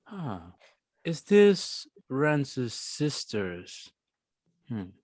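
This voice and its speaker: An actor playing a menacing, arrogant gang leader in a table read, mocking and gloating with halting, chuckling delivery. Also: mid-range voice, big pitch swings, darkish tone, no echo, studio quality. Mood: smug curiosity.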